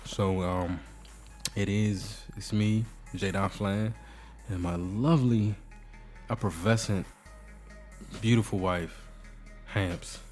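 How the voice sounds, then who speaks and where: A man's voice: on the low side, fairly even intonation, slow, pauses often, a little mellow, soft background music. A man with a cold and a nasal voice hosting a casual podcast, joking and bantering. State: sick, nasal. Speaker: podcast host. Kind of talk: casual chat.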